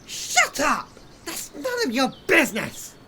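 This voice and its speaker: A wounded, embittered outcast leader, acted by a woman, her lively voice rising and falling with emotion. Her lines land slowly, and the recording carries a roomy echo with a long tail and loud noise behind it. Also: sits high, exaggerated voice. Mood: angry. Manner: emotional, swinging between anger and doubt. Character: young leader cast out, bitter and wounded.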